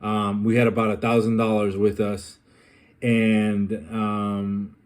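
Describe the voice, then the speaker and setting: Hesitant, reflective man, slightly low voice. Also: completely flat delivery. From Colombia. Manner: unhurried, pauses often with ums and uhs. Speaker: vlogger. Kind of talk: personal reflective monologue.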